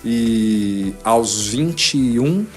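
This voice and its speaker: A man's voice: mid-range voice, drawn-out words, faint music behind. A man in his forties with a São Paulo Brazilian accent, telling a personal story as a podcast guest, casually acting out remembered conversations. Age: 40s. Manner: animated retelling with quoted dialogue.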